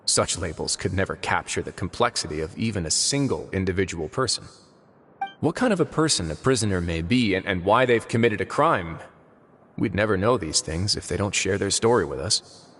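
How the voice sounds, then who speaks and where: An actor voicing a composed adult prison warden in a video game, speaking in a calm, measured, thoughtful way. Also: male voice, deep voice, slight background noise. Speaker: game character. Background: faint game music.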